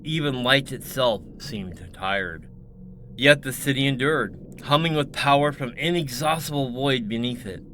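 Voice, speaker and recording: A man's voice: mid-range voice, measured pace, bright, forceful tone, slight background noise. A man reading dramatic scripted science fiction narration as a storyteller.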